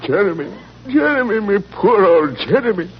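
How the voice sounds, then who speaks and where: A man's voice: high-pitched, very animated, normal speed, slight background noise. An actor playing a flustered, grieving, deferential working-class servant in 1940s radio style, stammering as he talks. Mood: mournful. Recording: dull, lo-fi 1940s radio recording.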